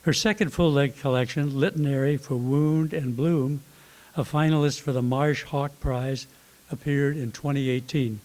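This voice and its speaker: A man's voice: mid-range voice, fairly even intonation, a little slow, darkish tone, low-quality recording. A man hosting a library poetry series who reads a formal introduction of the evening's poet. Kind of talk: reading a prepared introduction. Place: library event room.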